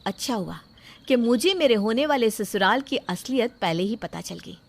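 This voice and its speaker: A woman's voice: fairly high pitch, lively intonation, moderate pace, vocal fry. A woman playing an outspoken, self-respecting young bride-to-be in a story drama, speaking firmly and indignantly. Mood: defiant, proud. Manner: firm, indignant, emphatic. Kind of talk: dramatised Hindi moral story acting.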